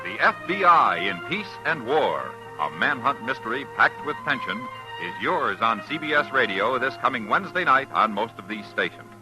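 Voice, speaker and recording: A man's voice: medium pitch, big pitch swings, normal speed, hardly any pauses, rough recording. A man with an American accent reading scripted announcements as an old-time radio announcer. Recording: vintage radio recording with music. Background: orchestral theme music.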